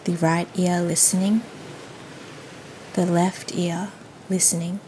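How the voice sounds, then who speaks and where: Woman, meditation guide, very even low-pitched voice, soothing delivery, soft ocean wash behind. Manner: soothing, gentle, unhurried. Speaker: yoga nidra guide. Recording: faint steady ocean noise behind. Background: ocean waves ambience.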